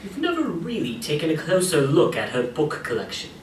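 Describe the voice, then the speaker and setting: A man's voice: fairly high pitch, huge pitch swings, a little fast. A man reading scripted narration for a story game, addressing the listener as the player. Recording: echoey room playback, distant, faint noise.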